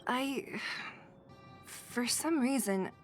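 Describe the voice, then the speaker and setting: An actress playing a curious young adventurer in a game, talking thoughtfully and a little hesitantly. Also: female voice, a little high, very slow, soft background music. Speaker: game character exploring.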